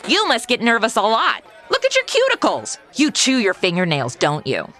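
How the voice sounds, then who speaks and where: Casting: self-absorbed, snarky young woman in comic audio drama, bright forceful tone, swooping petulant delivery. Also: fairly high pitch, huge pitch swings, moderate pace, a little hiss or hum. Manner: sarcastic, petulant, valley-girl phrasing. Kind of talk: comedy audio drama acting.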